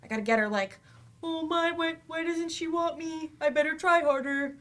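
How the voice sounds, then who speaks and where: A woman's voice: extremely high pitch, normal speed, a bit bright. A woman in a staged play reading, acting a brash, goofy and cocky adult student.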